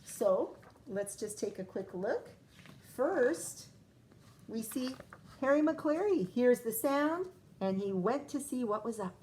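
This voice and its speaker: A woman teacher reading a picture book aloud to young children, pausing to ask questions and explain words. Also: on the high side, animated, darkish tone, slight background noise. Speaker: first grade teacher. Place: classroom or home, recorded for video.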